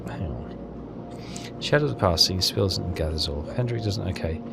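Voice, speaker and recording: A man's voice: low voice, expressive, moderate pace, gravelly. A man giving casual game commentary, muttering and hesitant as he thinks aloud. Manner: muttering, hesitant, puzzling things out. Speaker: gamer doing a walkthrough. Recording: music behind the voice. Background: game music.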